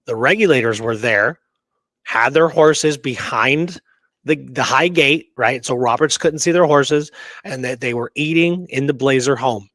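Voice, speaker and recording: A man's voice: mid-range voice, unhurried, fairly bright tone, no room sound. A man casually telling and discussing history as a show co-host, emphatic and often checking in with "right?".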